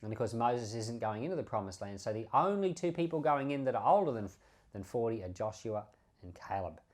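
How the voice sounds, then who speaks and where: A man's voice: mid-range voice, lively intonation, fairly quick, darkish tone. A man speaking as a pastor, explaining scripture in an informal, conversational way and stressing key words by repeating them. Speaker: pastor and podcast host. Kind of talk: informal Bible teaching commentary.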